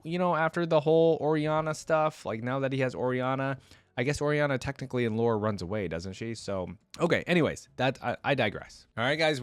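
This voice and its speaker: A man's voice: mid-range voice, dry recording. A man hosting a reaction video, commenting in a casual, chatty, informal way with frequent interjections.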